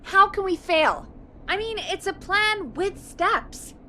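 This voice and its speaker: A woman's voice: very high voice, sing-song, slow pace, bright, forceful tone, a little hiss or hum, exaggerated voice. A woman voicing a perky, gossipy radio show producer in a video game, chatty and enthusiastic. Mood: hopeful, excited. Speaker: radio producer putting calls through.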